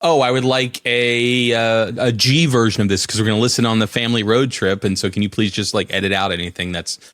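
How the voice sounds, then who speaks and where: A man co-hosting a tech podcast, explaining things in a measured, conversational way. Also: lowish voice, fairly even intonation, a bit bright, no echo, studio quality. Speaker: podcast co-host. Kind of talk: casual tech chat.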